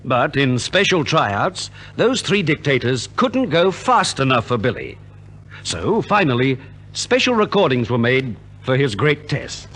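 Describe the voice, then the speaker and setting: Lively, theatrical male radio narrator. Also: mid-range voice, a little slow. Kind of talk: 1950s radio scripted narration.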